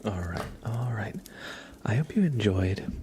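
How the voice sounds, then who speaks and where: Soft-spoken man, often whispering, lively pitch. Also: unhurried, noisy background. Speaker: ASMR livestream host. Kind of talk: ASMR, casual chat to viewers and a pet cat.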